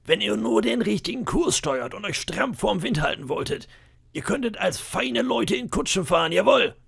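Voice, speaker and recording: A man's voice: high-pitched, lively intonation, moderate pace, vocal fry, fairly bright tone, slightly rough, pitched above the performer's own voice, full character voice. A man voicing a cunning old pirate cook in an audiobook, wheedling and boastful with flashes of menace. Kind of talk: audiobook character voice.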